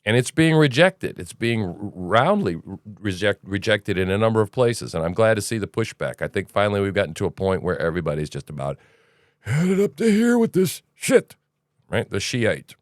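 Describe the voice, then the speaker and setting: A man's voice: lowish voice, very animated, fairly quick, no echo, studio quality. A man hosting a casual news commentary podcast, rambling and opinionated, with sarcastic asides. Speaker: podcast host.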